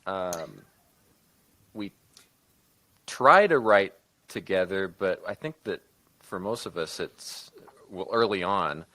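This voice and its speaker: A man's voice: sits low, expressive, takes it slowly, pauses often, sharp tone. A man talking casually as a co-producer on a discussion podcast. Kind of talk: casual chat.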